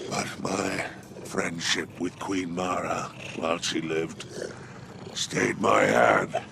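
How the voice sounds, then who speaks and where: Very gravelly, sly alien crime lord. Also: male voice, background noise, heavily acted. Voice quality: deep gravelly growl. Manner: theatrical, drawling, sardonic. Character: sly, pompous alien crime lord, mock-courteous schemer. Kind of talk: video game character acting.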